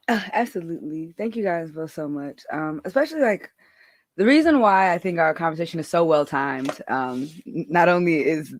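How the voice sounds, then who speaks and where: A woman's voice: low voice, no room sound. A woman hosting a podcast, talking in a chatty, upbeat way with many fillers over a remote call. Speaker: podcast host. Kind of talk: casual podcast chat.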